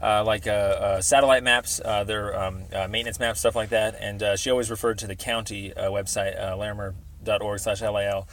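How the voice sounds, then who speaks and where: A man with an American accent and a fairly low voice talks casually on a call. He rambles hesitantly, padding his sentences with ums and circling toward his point. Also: little pitch movement, a bit bright, a little hiss or hum. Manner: hesitant, rambling, halting delivery thick with ums. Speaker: member of the public calling a city department. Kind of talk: casual phone conversation.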